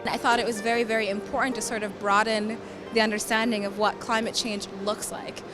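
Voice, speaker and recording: A woman's voice: a little high, flat delivery, brisk. A young woman from Sudan, raised in Philadelphia, answering interview questions about her poetry in an earnest, reflective way, often repeating words as she thinks. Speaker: poet and climate refugee advocate. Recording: clear background music under voice.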